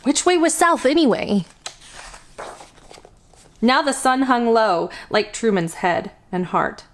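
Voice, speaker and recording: A woman hosting a children's storytime, reading a picture book aloud in an expressive, deliberate storytelling manner. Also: a little high. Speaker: children's storytime host and reader.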